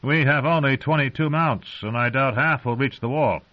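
A man's voice: mid-range voice, normal speed, voice pushed up, close to an everyday voice. A man voicing a worried male rider urgently reporting to his lord. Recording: muffled lo-fi recording.

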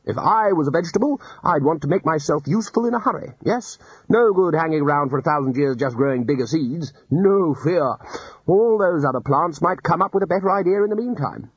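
A man reading aloud and voicing a brisk, hearty elderly male wizard leader. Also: fairly high pitch, lively intonation, breathy edge, dry recording, pitched above the performer's own voice. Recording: dull lo-fi audiobook recording.

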